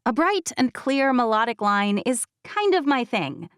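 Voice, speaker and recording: A woman narrating a first-person novel as an audiobook, with expressive, wry delivery. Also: fairly high pitch, measured pace, smooth, no echo, studio quality.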